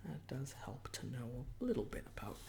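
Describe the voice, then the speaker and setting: A man in a whispered ASMR roleplay as a portrait artist, chatting hesitantly in short phrases with pauses between them. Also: medium pitch, big pitch swings, creaky, warm tone, a little hiss or hum.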